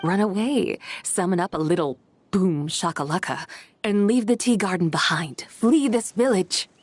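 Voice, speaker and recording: A woman voicing a composed, gently regal immortal in an animated video game, speaking in a measured, formal, assured manner. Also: lowish voice, a little hiss or hum, lightly acted. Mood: playfully urging. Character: composed, cryptic female immortal horse adeptus, gently regal. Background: background music.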